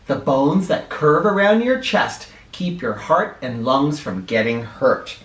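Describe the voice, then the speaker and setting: Animated, higher-pitched man reading to children. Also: slow pace. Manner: expressive, instructive, addressing young listeners directly. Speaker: children's book reader. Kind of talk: animated read-aloud of a children's picture book, with asides. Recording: roomy echo, distant from the microphone.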